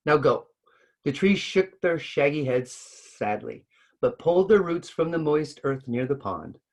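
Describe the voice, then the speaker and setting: A man reading a children's story aloud with expressive narration. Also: fairly high pitch, a little slow. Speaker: story reader.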